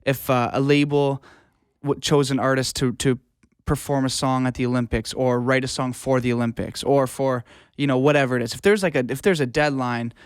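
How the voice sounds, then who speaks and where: Male, 20s. Slightly low, clean voice, informal podcast guest, loose and rambling, close dry sound. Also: fairly even intonation, brisk, smooth, no room sound. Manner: informal, rambling, mild swearing. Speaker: guest, music producer and audio engineer. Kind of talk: casual conversational interview answers.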